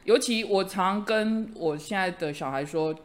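Middle-aged male teacher-host, slightly higher voice, earnest pep-talk manner. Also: measured pace. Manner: earnest, encouraging, fond of idioms. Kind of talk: casual chat.

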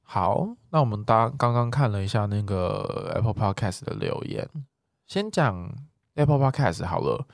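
A talkative, expressive man co-hosting a podcast, chatting casually as he replies to listener comments. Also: lowish voice, normal speed, vocal fry, no room sound, studio quality. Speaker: podcast co-host.

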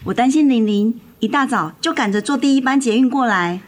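A woman's voice: a little high, fairly quick, lightly acted. A woman acting a caring but sassy teenage elder sister in a radio drama, youthful, pert and protective. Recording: music under the voice.

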